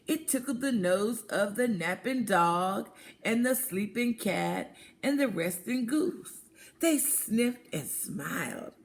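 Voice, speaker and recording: Slow, creaky-voiced woman reading to children. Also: medium pitch. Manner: deliberate, expressive, pauses to point out rhymes and prompt listeners. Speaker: children's read-aloud storyteller, teacher. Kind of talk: picture book read-aloud to children, interactive.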